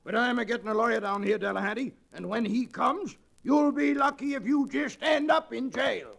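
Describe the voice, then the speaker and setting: An actor playing a defiant, blustering rustic rancher in an old-time radio western. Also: male voice, very high voice, normal speed, vocal fry, a bit bright, a little hiss or hum, exaggerated voice. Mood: angry, defiant. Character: angry, defiant wronged male Texas rancher. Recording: restored vintage radio drama recording.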